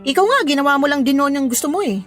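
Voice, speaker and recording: A woman's voice: high voice, lively intonation, fast talker, soft background music. A woman acting as a fed-up, scornful young mother scolding her ex in a Filipino radio drama. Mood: angry, bitter. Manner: sharp, scolding, sarcastic.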